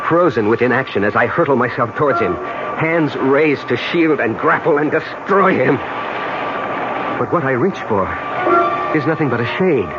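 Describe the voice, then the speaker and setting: A man's voice: medium pitch, expressive, moderate pace, natural voice. A male actor plays a brooding, obsessed man haunted by jealousy, intense and theatrical in the manner of a 1970s radio drama. The sound is dull and heavily veiled in noise, like an old broadcast. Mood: desperate, doomed. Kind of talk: 1970s radio drama acting and first-person narration.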